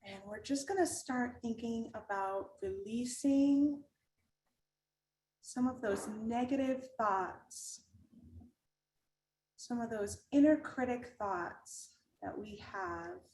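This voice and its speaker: A woman leading a guided meditation in a calm, gentle manner, giving unhurried instructions with long pauses. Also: on the high side. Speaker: meditation guide and art teacher. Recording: roomy echo with music underneath.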